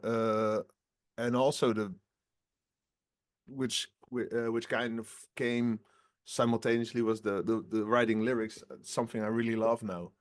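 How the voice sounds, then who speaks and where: A singer in his twenties answering interview questions in a relaxed, halting way, often laughing between phrases. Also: mid-range voice. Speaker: rock band singer, guest.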